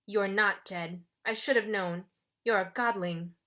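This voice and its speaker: Woman playing a smooth, condescending British ferryman of the dead. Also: medium pitch, unhurried, bright, forceful tone. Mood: greedy, suspicious. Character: tall elegant sinister male ferryman of the dead, condescending.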